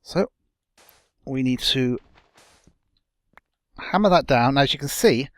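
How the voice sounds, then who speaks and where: Young male let's-play host, slightly croaky, rambling casual commentary, dry close sound. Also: medium pitch, expressive, slow, fairly bright tone, dry recording. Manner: rambling, self-correcting, chatty.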